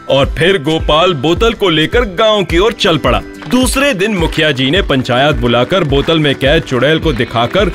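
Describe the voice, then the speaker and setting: A man narrating a scripted animated horror tale as a storyteller. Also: a little high.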